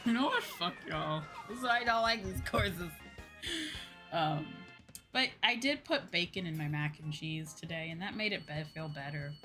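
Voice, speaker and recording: A woman chatting casually as a livestream host while gaming, expressive and free with swearing. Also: lowish voice, moderate pace. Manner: expressive, swears freely, mock-grumbling asides. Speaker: livestream host, virtual streamer. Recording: game music behind the voice. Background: video game music.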